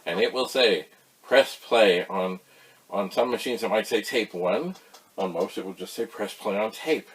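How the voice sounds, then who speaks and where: A man giving a casual, folksy explainer, rambling through anecdotes with emphatic pauses. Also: lowish voice, a little hiss or hum. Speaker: host of a retro computer tutorial channel.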